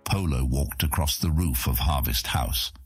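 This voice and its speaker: A man's voice: a bass voice, measured pace, loud music behind the voice. A man narrating scripted fiction as an audiobook narrator.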